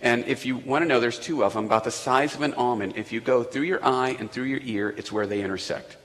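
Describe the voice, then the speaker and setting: A man's voice: medium pitch, a little fast, a bit bright. A man preaching a sermon to his congregation in a conversational way, with asides and questions to the room. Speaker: pastor. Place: church sanctuary. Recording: echoey church hall.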